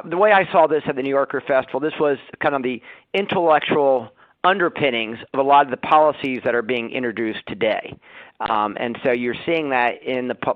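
Halting male analyst on dry phone line. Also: medium pitch, no echo. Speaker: financial analyst asking questions. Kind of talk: spontaneous question on earnings call.

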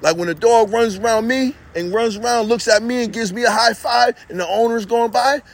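High-voiced guy rambling streetwise and boastful, talking into his phone out in the yard. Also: a little fast, no echo. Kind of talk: rambling monologue to camera.

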